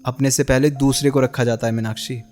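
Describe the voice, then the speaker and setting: A man's voice: mid-range voice, level tone, fairly quick, darkish tone, faint music behind, natural voice. An actor playing a bitter, heartbroken young man in a radio drama, sarcastic and accusing as he confronts the woman he loved. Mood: accusing, hurt. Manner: sarcastic, accusing, with pointed rhetorical questions. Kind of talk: Hindi radio play acting.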